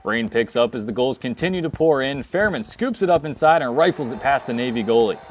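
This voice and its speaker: A young man working as a student sports reporter, narrating scripted highlights and field reports. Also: animated, brisk, slight background noise. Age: young adult.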